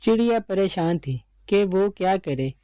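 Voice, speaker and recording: A woman's voice: medium pitch, animated, unhurried, warm tone, no room sound. A woman narrating a scripted children's story as its storyteller.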